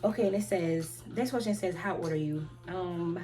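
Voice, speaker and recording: A woman in her twenties answering viewer questions in a rambling, halting way, often restarting and trailing off. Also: lowish voice. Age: 20s. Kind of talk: casual chat. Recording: loud music playing behind her.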